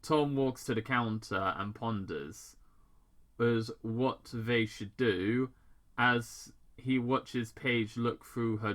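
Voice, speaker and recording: A man's voice: medium pitch, a bit bright. A man hosting a casual fan fiction read-aloud, stumbling over lines and adding sardonic, informal side comments. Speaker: host reading fan fiction.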